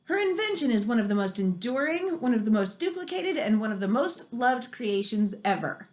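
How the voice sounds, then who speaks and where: Animated woman reading aloud, high lively voice. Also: moderate pace. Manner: animated, exclamatory, chatty. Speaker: reader reading a nonfiction book aloud for children. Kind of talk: read-aloud with casual asides.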